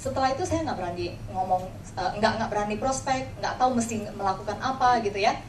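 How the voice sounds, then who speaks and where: An echoey, slightly distant recording with some noise carries a woman with a Jakarta Indonesian accent. She speaks at a middle pitch to an audience, in a conversational way. Her talk is built on personal stories, with little tags that seek agreement. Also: fast talker. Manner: conversational, acts out quoted dialogue, frequent tag words seeking agreement. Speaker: insurance agency leader giving a talk. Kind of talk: informal motivational talk with personal anecdotes. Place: indoor room before an audience. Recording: echoey room, distant mic, noisy background.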